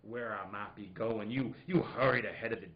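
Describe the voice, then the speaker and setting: A man playing a smug, playful detective in a comedic live radio mystery, hamming it up and halting for asides. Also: fairly low pitch, highs cut off, close to an everyday voice. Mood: expository. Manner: hammy, halting, breaks into ad-libbed asides.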